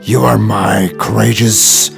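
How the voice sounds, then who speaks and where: A proud lion king from a children's storybook, gruff and creaky. He speaks in a low voice, haughty and theatrical, with music behind him. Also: fairly even intonation, heavily acted. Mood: grateful, solemn. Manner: slow, haughty, dramatic delivery.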